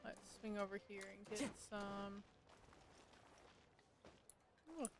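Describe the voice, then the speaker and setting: A woman's voice: mid-range voice, slow, over music. A woman giving casual live gameplay commentary as a streamer, informally thinking aloud. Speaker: game streamer.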